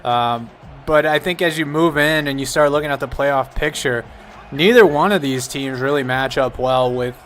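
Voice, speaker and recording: A man's voice: medium pitch, normal speed, a bit bright, faint music behind. A man giving casual color commentary on a football broadcast, talking in a chatty, rambling way with frequent restarts.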